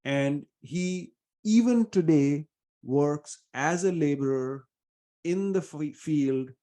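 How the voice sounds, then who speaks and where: Casting: middle-aged Indian-accented man, warm low-key tone, earnest guest answering with pauses and fillers. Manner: earnest, measured, many fillers. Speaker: venture investor guest. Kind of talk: reflective interview answers.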